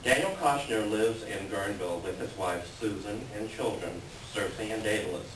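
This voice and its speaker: A man introducing a staged play reading as announcer, reading aloud and speaking in a halting way. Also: on the low side. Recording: echoey room, distant mic, clear noise.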